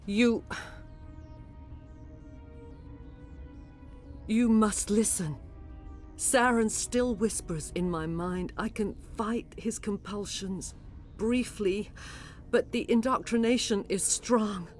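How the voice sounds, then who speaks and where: A woman playing a grave alien matriarch, a mind-controlled servant, speaking formally and with measured drama in a video game. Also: medium pitch, darkish tone, faint music behind, close to an everyday voice. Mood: urgent, strained.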